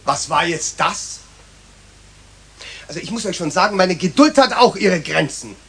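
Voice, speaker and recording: A man's voice: high-pitched, animated, moderate pace, a bit bright, slightly rough, heavily acted. An actor playing a stern, exasperated male schoolteacher in a children's radio play, scolding with emphatic enunciation. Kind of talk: 1970s German children's radio play acting.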